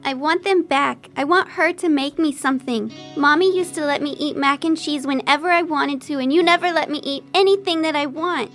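A woman's voice: high-pitched, a little fast, hardly any pauses, a bit bright, soft background music. A woman playing a grieving, frightened young daughter in an audio drama, speaking in a plaintive, hesitant way. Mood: upset, pleading.

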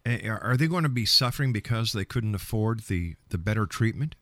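A man's voice: on the low side, lively intonation, a little fast, a bit bright, dry recording. A man hosting a radio interview, asking probing questions in a direct, challenging manner.

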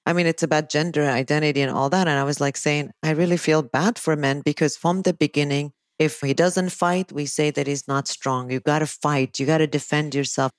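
Woman, middle-aged, low voice, chatty host, dry close sound. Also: steady tone, fairly quick, hardly any pauses, no echo. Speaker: podcast host, psychology teacher. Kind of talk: casual interview chat.

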